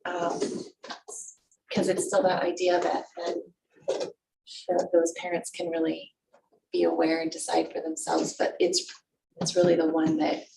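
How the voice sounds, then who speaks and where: Gravelly rambling woman in a boardroom. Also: lowish voice, completely flat delivery, measured pace, pauses often, darkish tone. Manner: rambling, trailing off. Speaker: school board member. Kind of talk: board meeting discussion. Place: large meeting room. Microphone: desk microphone from afar. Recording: echoey room, distant mic, faint hiss.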